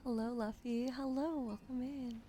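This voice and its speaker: A woman streamer chatting with viewers during an ASMR livestream, often whispering softly, close to a sensitive studio mic. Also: on the high side, steady tone, a little hiss or hum. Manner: often whispering, soft and unhurried. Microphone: sensitive studio mic on a boom arm.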